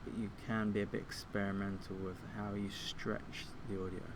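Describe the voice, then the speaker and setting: A man giving an informal software tutorial, explaining things step by step like a teacher. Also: fairly low pitch, flat delivery, normal speed, background noise. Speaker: music technology teacher.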